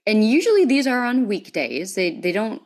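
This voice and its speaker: A woman's voice: mid-range voice, big pitch swings, moderate pace, darkish tone. A woman hosting a podcast, speaking casually and chattily in a conversational interview. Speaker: podcast host.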